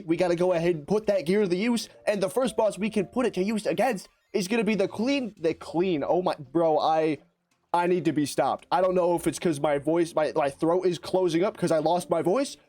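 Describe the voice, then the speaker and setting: A young man with a raspy, hoarse voice, giving excitable, slangy gameplay commentary as a YouTuber. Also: sits high. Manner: excitable, slangy, fast-talking, rambling. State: hoarse from lost voice. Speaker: gaming YouTuber.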